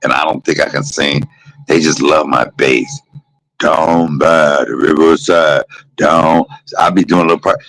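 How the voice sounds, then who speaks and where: A man's voice: a bass voice, steady tone, a little slow, a bit bright, low-quality recording. A man chatting casually from a hospital room, joking and teasing with bursts of laughter. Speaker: podcast host. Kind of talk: casual chat.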